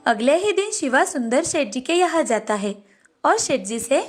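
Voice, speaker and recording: A woman's voice: high voice, sing-song, normal speed, faint music behind. A woman narrator reading a scripted moral bedtime story.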